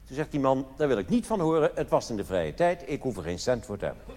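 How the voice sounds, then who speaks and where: A man's voice: medium pitch, very animated, fast. Theatrical male cabaret comedian in a reverberant hall. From Netherlands. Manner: theatrical, mock-solemn, rhetorical questions. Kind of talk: live stand-up cabaret monologue with anecdotes. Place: theatre stage in a large hall. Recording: reverberant hall live recording with noise.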